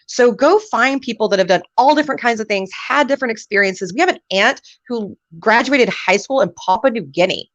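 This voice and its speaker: A woman's voice: fairly high pitch, lively intonation, a little fast, few pauses, a bit bright, dry recording, lo-fi sound. A woman hosting a live career event over a video call, upbeat and laughing often. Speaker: host.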